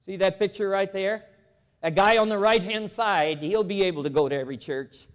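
A man in his seventies giving a lively, folksy, emphatic storytelling speech to a church convention, walking the floor with a lapel mic. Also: high voice, normal speed, long reverb tail. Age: 70s. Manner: animated, folksy, emphatic repetition. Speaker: convention speaker. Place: large convention hall.

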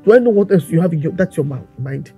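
A woman's voice: low voice, lively intonation, fast, mellow, faint music behind. A young woman with a Nigerian accent playing a pregnant wife who scolds and cries with repeated questions. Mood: sullen. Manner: tearful, scolding, repetitive questions. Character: pregnant wife, tearful and accusing. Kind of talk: Nollywood-style skit acting.

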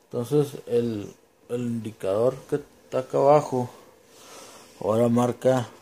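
A man with a Mexican Spanish accent giving a casual, unscripted demonstration, informal and halting as he searches for words and drops in English. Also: medium pitch, gravelly, dark tone, a little hiss or hum. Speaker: home vlogger demonstrating gear.

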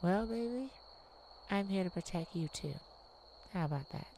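A woman playing a tenderhearted mage devoted to a werewolf partner in a romantic ASMR roleplay, soft, affectionate and creaky, with pauses. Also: medium pitch, expressive, a little hiss or hum, natural voice, poor audio. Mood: tender, soothing. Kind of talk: romantic ASMR roleplay, one-sided dialogue.

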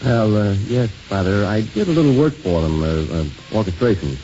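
Easygoing young priest in old radio drama, lowish voice, lively delivery, soothing and a bit hesitant. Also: male voice, moderate pace. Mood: casual, encouraging. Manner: soothing, hesitant when breaking news. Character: easygoing, reassuring younger priest, gently coaxing. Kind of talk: 1950s radio drama acting. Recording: muffled, hissy 1950s radio recording.

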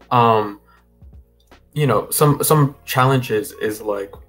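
A young man being interviewed over a video call from a room at home, talking in a relaxed, rambling way with lots of fillers and restarts. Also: mid-range voice. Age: young adult. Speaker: guest. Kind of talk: casual interview.

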